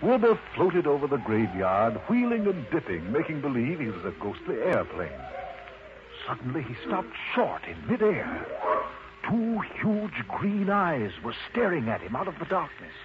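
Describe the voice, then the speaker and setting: A man narrating a scripted children's story in an expressive, dramatic way. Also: on the high side, moderate pace. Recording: muffled lo-fi audio with clear noise.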